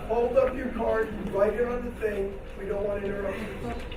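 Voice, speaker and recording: A man's voice: high-pitched, steady tone, moderate pace. A man chairing a civic meeting, firm and procedural. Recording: echoey hall, far off, loud noise.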